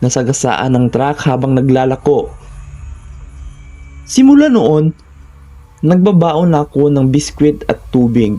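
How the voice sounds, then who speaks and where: Male horror narrator, soft dark tone. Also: mid-range voice. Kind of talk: scripted first-person horror narration.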